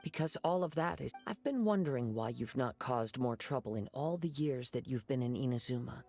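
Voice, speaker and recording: Calm, husky young samurai. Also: male voice, mid-range voice, soft background music. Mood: measured, reproving. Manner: measured, thoughtful, gently reproving. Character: calm, perceptive young male wandering samurai. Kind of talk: dramatic video game voice acting.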